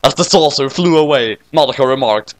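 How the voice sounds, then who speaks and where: A man's voice: mid-range voice, fairly quick, no echo. A man reading scripted comic fan fiction as narrator.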